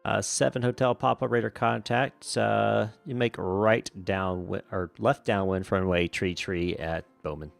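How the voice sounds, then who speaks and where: A man acting as a virtual air traffic controller, switching between radio phraseology and casual, relaxed chat to a stream. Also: lowish voice, a little slow, creaky, few pauses, soft background music.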